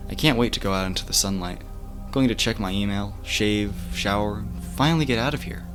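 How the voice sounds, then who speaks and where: A man's voice: fairly low pitch, fairly quick. A man reading a first-person horror story aloud as bedtime narration, like an amateur audiobook with the occasional stumble. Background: music.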